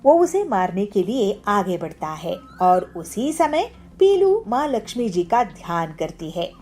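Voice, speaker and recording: A woman's voice: medium pitch, big pitch swings, unhurried, darkish tone. A woman reading scripted children's story narration.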